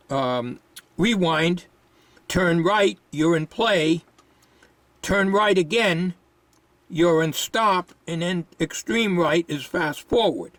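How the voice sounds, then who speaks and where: A man giving an informal, unscripted hands-on repair demonstration, thinking aloud in a halting way. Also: fairly high pitch, fairly bright tone, no room sound.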